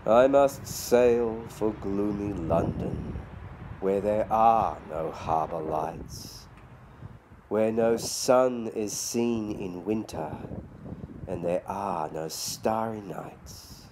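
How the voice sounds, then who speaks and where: Slow, low-voiced man reciting outdoors. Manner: measured, deliberate recitation. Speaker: vlogger reading poetry. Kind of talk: slow poetry reading. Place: outdoors around a city harbour. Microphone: phone at arm's length. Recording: outdoor phone video, clear ambient street noise.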